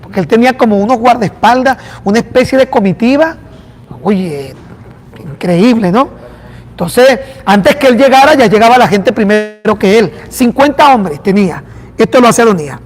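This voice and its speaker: High-voiced man preaching in a reverberant hall. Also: moderate pace. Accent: Venezuelan. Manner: animated preaching with acted-out dialogue. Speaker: pastor. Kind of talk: sermon.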